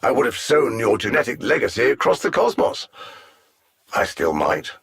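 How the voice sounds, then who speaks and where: An actor playing a cold, arrogant alien android supervillain in a video game, speaking precisely with pompous, condescending menace. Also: male voice, fairly low pitch, sing-song, normal speed, a bit bright, slightly rough, full character voice. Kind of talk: scripted video game villain dialogue.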